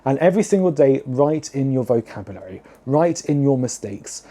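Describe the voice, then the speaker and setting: Camp British drag host, dark tone. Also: male voice, expressive, normal speed. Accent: British English. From United Kingdom. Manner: camp, theatrical, swings into sudden high exclaims; many "um" fillers, chatty and self-mocking. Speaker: drag queen podcast host. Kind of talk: chatty solo talk to camera.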